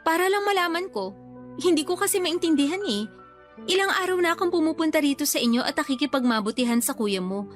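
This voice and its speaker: An actress playing a young mother in a radio drama, placating and measured but quietly stubborn. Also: sits high, animated, faint music behind. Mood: pleading, regretful. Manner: pleading, measured, quietly defiant. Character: young mother, brother's returned girlfriend, placating yet stubborn. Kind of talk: Tagalog radio drama acting.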